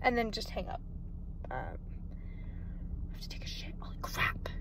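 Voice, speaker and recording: High-voiced chatty young woman in a car. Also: sing-song, unhurried, a bit bright, in a whisper, low-quality recording. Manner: chatty, swears freely, self-mocking asides. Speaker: vlogger. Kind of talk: casual vlog chat. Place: parked car at night, indoors. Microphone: phone camera held or propped close.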